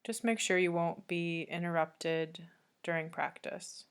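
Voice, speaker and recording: A woman yoga teacher leading a guided meditation, speaking calmly and gently with long pauses between soothing instructions. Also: lowish voice, smooth.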